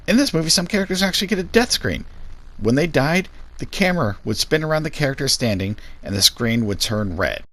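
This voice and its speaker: A man's voice: medium pitch, fairly bright tone. A man hosting a scripted review video, giving opinionated, sweary and sarcastic commentary.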